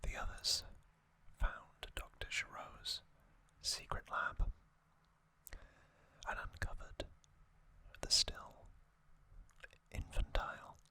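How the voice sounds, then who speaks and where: An ASMR host whispering trivia narration slowly and deliberately in short phrases, with a voice slightly strained from singing. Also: bright, forceful tone. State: voice slightly off after singing hard.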